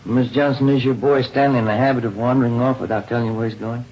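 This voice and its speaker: An actor playing a courteous, low-key police detective in a vintage radio drama, questioning politely and soothingly. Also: male voice, fairly even intonation, quick pace, dull audio, close to an everyday voice. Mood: calm, polite. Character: mild, courteous male police detective partner, a father. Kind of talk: 1950s radio drama acting. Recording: hissy, band-limited old radio recording.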